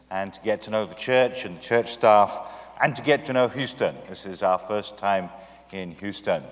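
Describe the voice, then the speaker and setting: A man from the United Kingdom with a British accent, preaching a sermon to a congregation and asking earnest rhetorical questions. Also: fairly low pitch. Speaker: guest preacher. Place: church sanctuary. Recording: long reverb of a church hall.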